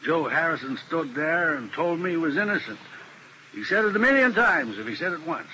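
Picture a worn-out defense lawyer in an old radio crime play, his voice bright and fairly high, swinging up and down with feeling. He speaks in a troubled, heartfelt way. A light hiss runs under the vintage broadcast. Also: animated, brisk, low-quality recording. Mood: anguished. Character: weary, guilt-ridden male defense lawyer. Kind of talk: 1950s radio drama acting.

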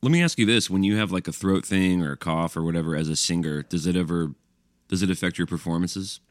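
A man's voice: low-pitched, quick pace, no echo. A male podcast co-host and musician from Alabama, teasing his partner with dry, wry humor. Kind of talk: casual podcast banter.

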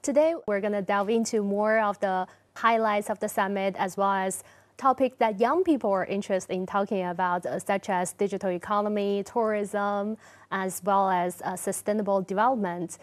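A woman's voice: medium pitch, steady tone, normal speed. A woman hosting a casual panel chat, asking guests questions and keeping the talk moving. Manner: friendly, steering the talk. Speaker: host.

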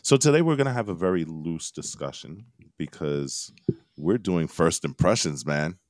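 A man's voice: deep voice, animated, moderate pace, a little mellow, dry recording. A man in his thirties hosting a geeky podcast, rambling casually with lots of fillers. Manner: laid-back, rambling with fillers. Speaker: podcast host. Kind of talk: casual chat. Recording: dry remote recording.

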